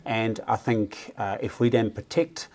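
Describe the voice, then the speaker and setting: Dutch-accented man, low voice, earnest and halting. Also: fairly even intonation, a little mellow. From Netherlands. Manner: earnest, halting, searches for words. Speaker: deputy chair of a regional council. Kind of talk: answering questions in a live stream.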